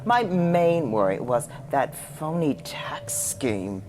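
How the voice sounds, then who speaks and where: A man's voice: a little high, sing-song, takes it slowly, darkish tone, a little hiss or hum. A man playing a fawning, gossipy space commander in a campy sci-fi comedy sketch, deferential to a boss. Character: fawning, chatty space commander minion to a despot.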